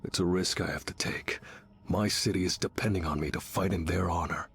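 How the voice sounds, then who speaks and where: A man's voice: deep voice, steady tone, fairly quick, fairly bright tone, husky, heavily acted. A man voicing a solemn, honorable warrior hero in a video game, speaking in a grave, resolute, formal way. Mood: solemn resolve. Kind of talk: video game character voice acting.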